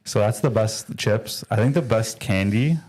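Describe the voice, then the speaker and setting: A man's voice: on the low side, a little slow, a little mellow. A man, a guest in a casual chat, talking in a rambling, playful way.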